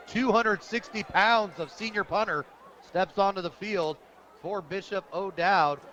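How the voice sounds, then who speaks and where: An American man with a fairly high voice calls a football game live for radio. His delivery is enthusiastic and descriptive, following the action as it unfolds. The sound is a bit dull and lo-fi, recorded outdoors at the stadium. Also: a bit bright, slight background noise. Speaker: radio play-by-play announcer.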